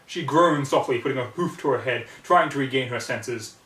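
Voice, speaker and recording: A man reading a story aloud as a narrator, with theatrical, expressive delivery and halting pauses. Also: mid-range voice. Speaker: reader of fan fiction. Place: echoey room, away from the mic. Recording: roomy, distant mic, background noise.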